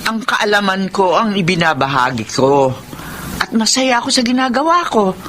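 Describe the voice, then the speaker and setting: A woman's voice: medium pitch, big pitch swings, moderate pace, background noise, close to an everyday voice. A woman playing a generous, emotional elderly mother in a Filipino radio drama. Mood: earnest.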